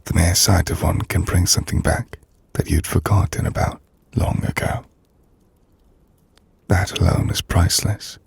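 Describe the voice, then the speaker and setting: A man playing a melancholy, gentle half-vampire nobleman in an intimate ASMR roleplay, speaking in a hushed, breathy, formal and reflective manner. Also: steady tone, no echo, heavily acted. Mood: wistful, reflective. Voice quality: low, gravelly, breathy. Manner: hushed, breathy, slow reflective delivery with formal, measured phrasing. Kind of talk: ASMR audio roleplay, intimate dramatic monologue.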